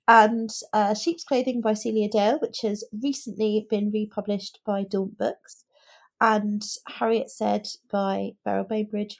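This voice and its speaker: A woman's voice: a little high, darkish tone. A British woman co-hosting a podcast, talking casually about books in a chatty, informal way. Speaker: podcast co-host. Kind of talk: casual book discussion.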